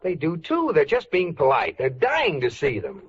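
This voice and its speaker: A man's voice: on the high side, wildly swooping pitch, normal speed. A man playing a stubborn, touchy husband, defensive and indignant, in a 1940s radio comedy. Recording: noisy, muffled old radio recording.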